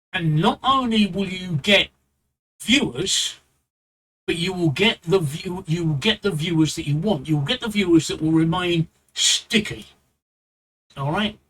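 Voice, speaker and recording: A man's voice: high-pitched, fairly bright tone, breathy edge, low-quality recording. A man with a London accent giving blunt streaming advice live, repeating himself and rambling into asides. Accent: London English. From England.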